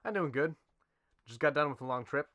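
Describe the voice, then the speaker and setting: Actor as quick-talking, laconic travelling contractor man. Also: a little high, lively intonation, fairly bright tone, lo-fi sound. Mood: easygoing. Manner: casual, understated. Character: laconic, easygoing male contractor travelling alone on a job. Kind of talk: amateur western film acting.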